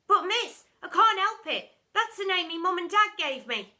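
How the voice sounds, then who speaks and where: A woman's voice: very high-pitched, animated, moderate pace, bright, forceful tone, pitched above the performer's own voice, exaggerated voice. A woman voicing a plaintive young schoolchild in a storybook, whining and pleading in protest. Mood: indignant, whining. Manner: whiny, pleading. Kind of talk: storybook character voice in read-aloud.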